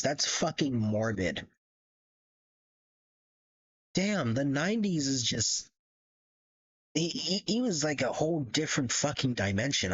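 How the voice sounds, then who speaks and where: A young man with a husky voice reacts casually on a livestream. His pitch jumps around while he swears and trades slang, on a slightly dull mic. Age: early 20s. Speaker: streamer.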